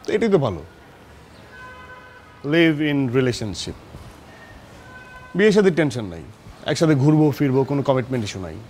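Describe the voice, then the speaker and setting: Animated, teasing young Bangladeshi boyfriend man acting. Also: mid-range voice, normal speed, pauses often, slight background noise, lightly acted. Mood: playful, persuasive. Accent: Bangladeshi Bengali. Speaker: actor. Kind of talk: naturalistic TV drama dialogue.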